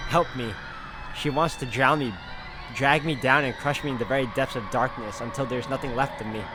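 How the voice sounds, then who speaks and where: A man's voice: medium pitch, steady tone, fairly quick. A man hosting a game playthrough, reading the story text dramatically aloud and exclaiming at it. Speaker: gaming video host. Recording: loud game music behind voice. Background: game music.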